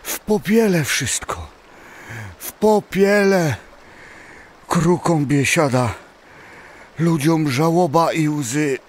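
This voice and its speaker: Slow, mournful wounded warrior. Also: male voice, fairly high pitch, slight background noise. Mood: bleak, mournful. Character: wounded, grieving warrior man. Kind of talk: audio drama acting.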